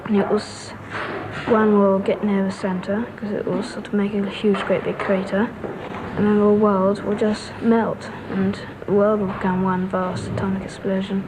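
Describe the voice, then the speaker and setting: A young girl giving a deliberate answer in an interview. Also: medium pitch, flat delivery. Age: child or teenager. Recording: noisy 1960s archival interview.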